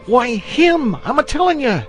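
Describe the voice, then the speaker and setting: A man performs a frightened country shepherd for a children's storybook. His delivery is rustic and exclamatory, and his pitch leaps and swoops well upward as he frets. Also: sing-song, measured pace, soft background music, heavily acted. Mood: agitated, wondering. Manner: rustic dialect grammar, exclamatory. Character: agitated, superstitious rustic shepherd, a frightened father.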